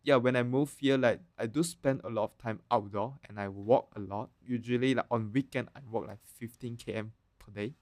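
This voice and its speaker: Man, medium pitch, dry recording, Malaysian accent, casual podcast guest, short choppy plain phrases. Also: normal speed, few pauses, a little mellow, no echo. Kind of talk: casual chat.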